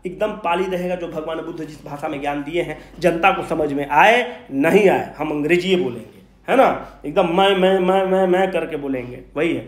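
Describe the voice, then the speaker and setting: High-pitched man lecturing in an echoey room. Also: normal speed, few pauses. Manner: asks questions and answers them himself; emphatic, repeats key points. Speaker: exam coaching teacher. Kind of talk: informal history lecture with rhetorical questions.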